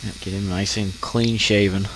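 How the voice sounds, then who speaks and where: A man's voice: fairly low pitch, level tone, normal speed, background noise. A man with a stuffy nose from allergies, giving laid-back, joking commentary over gameplay. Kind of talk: let's play commentary.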